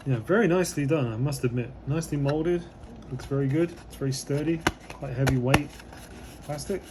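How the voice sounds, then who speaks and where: A slightly hoarse man, losing his voice, giving relaxed, rambling commentary as he unboxes a model kit, with many fillers. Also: on the high side, moderate pace, noisy background.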